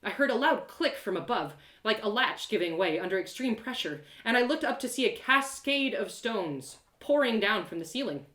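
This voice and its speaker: Give me a woman voicing a plucky, excitable young adventurer girl narrating a fantasy tale, bright and dramatic, in an echoey room. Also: on the high side, moderate pace, few pauses. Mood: panicked. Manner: exclamatory, dramatic, colloquial asides. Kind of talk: first-person dramatic story narration.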